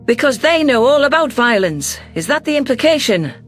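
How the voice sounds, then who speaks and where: A man voicing an indignant, combative alien political aide with a sharp, accusatory delivery in a sci-fi audio drama. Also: very high-pitched, big pitch swings, normal speed, faint music behind, full character voice. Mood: sceptical. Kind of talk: audio drama character reading.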